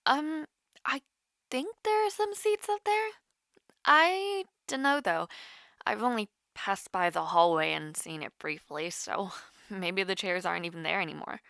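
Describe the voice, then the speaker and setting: A woman's voice: medium pitch, measured pace, gravelly, fairly bright tone, no room sound, studio quality. A young woman playing a nervous, rambling college student chatting casually with her online crush in an audio roleplay. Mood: shy, casual. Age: young adult. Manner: awkward, rambling, hesitant with many fillers. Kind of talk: naturalistic romantic audio roleplay, casual one-sided call.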